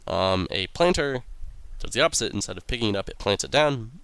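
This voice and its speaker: A young man hosting a game playthrough, talking casually with a raspy, sick-sounding voice and rambling with lots of ums and uhs. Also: fairly low pitch, lively intonation, a little fast, a bit bright, dry recording. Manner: rambling, many ums and uhs, reads quest text aloud. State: raspy voice from illness. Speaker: let's play host.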